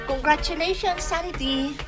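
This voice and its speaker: An actress playing a bubbly, excitable young female friend in a radio drama, with an Ilocano Filipino accent. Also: sits high, a little slow, over music. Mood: cheerful, excited.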